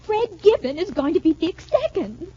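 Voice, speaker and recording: A woman's voice: extremely high pitch, big pitch swings, moderate pace, mellow. An actress playing an anxious, pleading young woman, earnest and melodramatic, in a 1940s radio drama. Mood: alarmed, pleading. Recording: muffled vintage radio recording.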